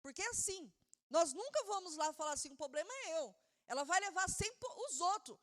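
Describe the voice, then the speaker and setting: Woman pastor, non-native speaker, high voice, fervent sermon delivery with loud peaks. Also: expressive, brisk. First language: not Portuguese. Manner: impassioned preaching, building to shouted emphasis; repeats words, stumbles and restarts while preaching.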